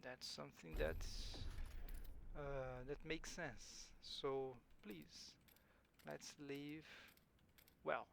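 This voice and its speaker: Slow, musing man, Portuguese native. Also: medium pitch, steady tone, gravelly, a bit bright, slight background noise. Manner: slow, musing, hesitant, self-correcting. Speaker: game streamer. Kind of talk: thinking aloud while playing.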